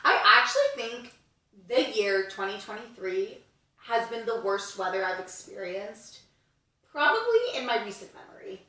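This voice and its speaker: A woman in her twenties co-hosting a podcast, joking in a lively, playful way. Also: a little high, heavy reverb, distant mic, poor audio. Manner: lively, playful and quick to joke. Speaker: podcast co-host. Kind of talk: casual chat.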